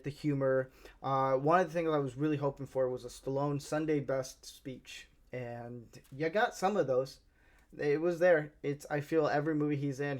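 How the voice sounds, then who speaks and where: A man with a Southern American accent, giving a casual, rambling movie review as a channel host, often restarting his sentences. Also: medium pitch. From Southern United States. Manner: chatty, rambling, many restarts.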